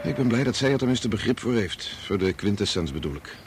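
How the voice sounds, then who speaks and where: An actor playing a bitterly disappointed grown son in a Dutch radio drama, prickly, argumentative and reproachful. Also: male voice, on the low side, expressive, fairly quick, a little hiss or hum. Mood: defensive. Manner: argumentative, exasperated.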